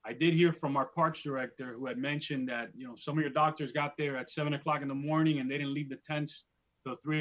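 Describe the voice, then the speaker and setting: A man's voice: medium pitch, a bit bright, muffled recording. A man serving as mayor, chairing a town council meeting, thanking the guests and inviting questions. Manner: appreciative, moves the meeting along.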